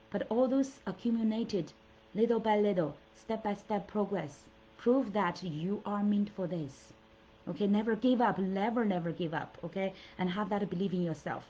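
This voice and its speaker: A middle-aged woman from China, whose first language is Mandarin, coaches her listeners in an earnest, encouraging way. She talks at a mid pitch, stopping and restarting her sentences often. The audio sounds dull and lo-fi. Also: a little mellow. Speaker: coach and show host. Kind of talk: motivational solo talk.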